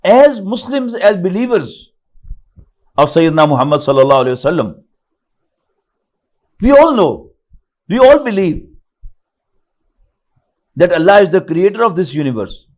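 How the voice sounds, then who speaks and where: Older man, Pakistani English accent, native Urdu speaker, Quran lecture, high-pitched, very animated. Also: normal speed, pauses often. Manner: recites Arabic verses, then explains each phrase in English. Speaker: Quran teacher. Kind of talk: online lecture, reciting and translating verses.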